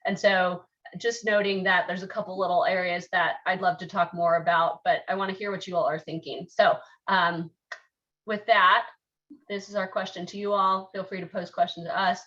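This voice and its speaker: A woman presenting in a webinar, relaxed and inviting questions from her listeners. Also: fairly low pitch, normal speed. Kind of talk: webinar discussion. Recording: distant dull lo-fi call audio.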